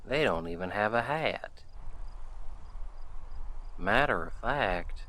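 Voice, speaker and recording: A man playing a friendly, gentlemanly Old West sheriff in a western audio roleplay, folksy and reassuring as he talks to the listener. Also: lowish voice, expressive, exaggerated voice. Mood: wry, musing. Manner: folksy, unhurried, reassuring cowboy talk.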